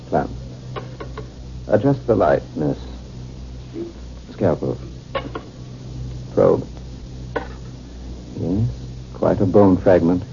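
A man in a 1950s radio drama, playing a calm, commanding military surgeon who gives clipped instructions. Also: sits low, vocal fry, heavily acted. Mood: terse, focused. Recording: muffled, noisy 1950s radio recording.

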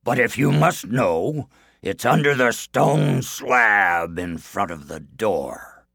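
Man as a slow, bellowing, suspicious giant. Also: lowish voice, animated, vocal fry, fairly bright tone, no echo, studio quality, heavily acted. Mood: gruff. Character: big suspicious man-eating giant, gruff and menacing.

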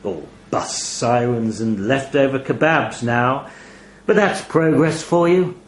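A man's voice: medium pitch, slow, roomy sound, slight background noise, close to an everyday voice. A man voicing an ancient talking toll raven in a story, pompous, weary and wistful like a retiring bishop reminiscing. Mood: nostalgic, pompous. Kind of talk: audio short story character dialogue.

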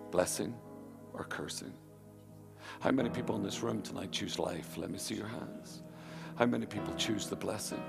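A man's voice: medium pitch, creaky. A man preaching a live sermon to a congregation, with emphatic, fervent repetition. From Northern Ireland. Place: church hall with a live congregation. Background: music.

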